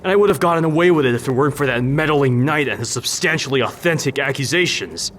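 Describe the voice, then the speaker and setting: An actor playing a jaded, sardonic war veteran and brewer in a fantasy video game, dry and wistful. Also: male voice, mid-range voice, animated, quick pace, a bit bright, slight background noise, natural voice. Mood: sarcastic.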